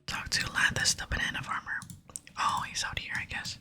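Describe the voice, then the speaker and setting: A woman whispering softly and unhurriedly close to the microphone as she hosts an ASMR gaming playthrough. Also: normal speed. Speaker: gaming video host. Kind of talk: whispered ASMR game playthrough with reading aloud. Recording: close whisper with music behind.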